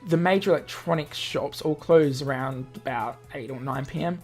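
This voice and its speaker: Male let's-play host, mid pitch, informal narration with off-the-cuff asides, music underneath. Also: normal speed, a little mellow. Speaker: gaming video host. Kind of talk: reading a visual novel aloud with casual asides.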